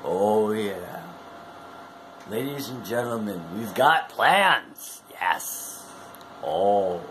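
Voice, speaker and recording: Bombastic man with wildly swinging pitch. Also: a little hiss or hum, rough recording. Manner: bombastic showman, drawn-out words, sudden whoops. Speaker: wrestling fan video host. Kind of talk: theatrical wrestling-promo monologue to camera. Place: small room at home.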